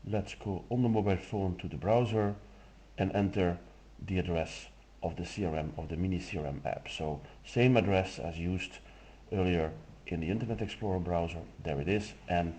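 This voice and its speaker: Deep-voiced Dutch-native man demoing software. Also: normal speed, a little hiss or hum. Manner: relaxed step-by-step explaining with fillers. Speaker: software demo presenter. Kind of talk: screen-recorded software walkthrough.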